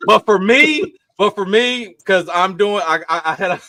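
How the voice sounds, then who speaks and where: A man talking loudly and excitedly in a casual online group chat, rambling and restarting his sentences, with his desk mic a couple of feet from his face. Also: high voice, unhurried, a little hiss or hum. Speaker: crypto trader guest. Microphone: desk mic about two feet from face.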